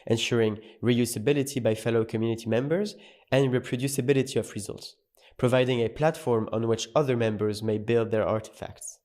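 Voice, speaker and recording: Male tutorial narrator, clear, measured, explanatory read. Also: mid-range voice, steady tone, a little mellow. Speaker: course narrator.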